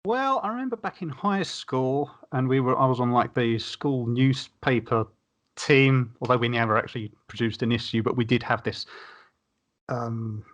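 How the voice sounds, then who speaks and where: A man's voice: mid-range voice, moderate pace, a bit bright. A man speaking as a podcast panelist, telling personal anecdotes casually in a rambling, wordy way.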